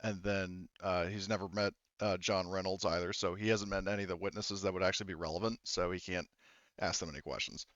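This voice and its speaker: A man runs a tabletop game with a smooth, clean voice. He narrates casually, halting and rambling as he improvises. The sound is dry and a little lo-fi. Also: sits low, fairly even intonation, a bit bright, no echo. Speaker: game master.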